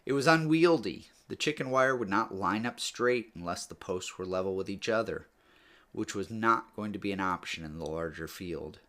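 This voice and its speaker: Male audiobook-style narrator, fairly low pitch, measured storytelling delivery with falling phrase endings. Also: expressive, sharp tone. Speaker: author or reader narrating a book read-aloud.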